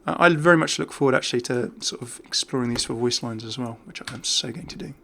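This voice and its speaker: A man's voice: mid-range voice, fast, creaky, sharp tone, slight background noise. A British man hosting a gaming video with chatty, casual, off-the-cuff commentary. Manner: chatty, easily amused.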